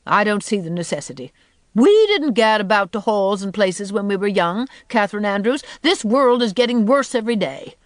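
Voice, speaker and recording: A woman's voice: medium pitch, lively intonation, moderate pace, dry recording, clean studio sound, lightly acted. A woman voicing a sour, gloomy spinster in her fifties, scornful and blunt, with folksy rural phrasing, in an audiobook. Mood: contemptuous. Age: 50s. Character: sour, contemptuous, gloom-loving 57-year-old spinster. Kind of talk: audiobook character voice.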